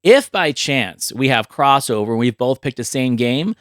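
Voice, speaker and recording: Male podcast host, mid-pitched, chatty and explanatory, casual talk, dry recording. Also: lively intonation, moderate pace, a bit bright, no echo.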